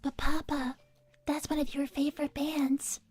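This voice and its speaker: A woman playing an earnest, devoted young artificial-intelligence daughter, soft and breathy, speaking politely and precisely in livestream banter. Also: high voice, flat delivery, measured pace. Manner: polite, precise, matter-of-fact. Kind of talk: in-character improvised livestream banter.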